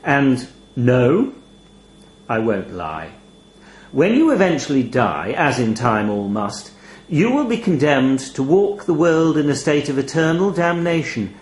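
A sly demon raven from a storybook, voiced by a male reader, bargains with courteous, matter-of-fact menace. The pitch swings freely through reassuring, chatty patter, and the room adds a noticeable echo. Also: big pitch swings, measured pace. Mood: polite menace. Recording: roomy, echoey voice recording.

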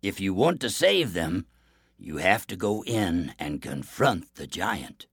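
A man voicing a gaunt, hungry wolf in a fairy tale, measured and earnest in giving pleas and advice. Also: low voice, sing-song, slightly rough, no room sound, clean studio sound, heavily acted. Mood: advising. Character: weak, starving wolf, helpful and wise.

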